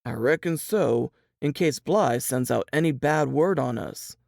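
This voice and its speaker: A man acts out a plain-spoken Southern piano player from a period novel. He is protective and folksy in his phrasing. The voice sits at a medium pitch with a heavy creak, in a very dry recording. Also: a little slow, a little mellow, dry recording. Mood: resigned, matter-of-fact. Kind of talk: dramatized audiobook reading.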